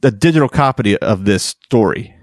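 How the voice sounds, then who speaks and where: A man's voice: on the low side, lively intonation, normal speed, no echo, studio quality. A man hosting a podcast, explaining and bantering in a casual, informal way. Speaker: podcast host.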